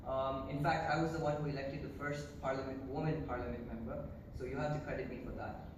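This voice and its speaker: A man's voice: medium pitch, little pitch movement, fast talker, dull audio, close to an everyday voice. A young man playing a pompous, boastful prime minister in an amateur school video skit, hesitant and full of uh's. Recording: far-off echoey room with noise.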